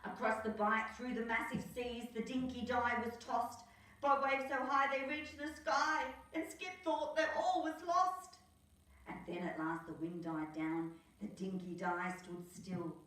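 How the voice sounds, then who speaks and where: A woman's voice: on the high side, fairly even intonation, unhurried, a bit bright, recorded from a distance. An Australian woman reading a children's picture book aloud as a storyteller, with theatrical emphasis on the rhymes. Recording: echoey room, away from mic.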